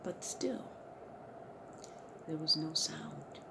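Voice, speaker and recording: A woman gives a dramatic scripture narration with a very lively pitch range. She takes her time, leaning on words theatrically and shifting between hushed and forceful volume, with faint noise behind her. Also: drawn-out words, creaky. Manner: theatrical, emphatic, swinging between hushed and loud. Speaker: dramatic reader. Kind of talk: dramatic Bible story narration.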